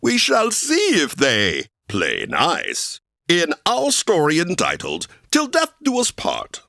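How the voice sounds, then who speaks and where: A man's voice: fairly high pitch, huge pitch swings, takes it slowly, vocal fry, pushed and bright, full character voice. An actor playing a playfully macabre host of an old-time radio horror show, theatrical and teasing as he relishes the gruesome. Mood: playfully ominous. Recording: music under the voice.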